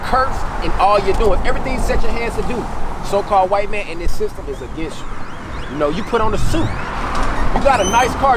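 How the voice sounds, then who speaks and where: A man with a high voice and an American accent preaches outdoors over loud street and traffic noise. He speaks colloquially, exhorting his listener and hammering phrases by repeating them. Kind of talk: impromptu street sermon on scripture.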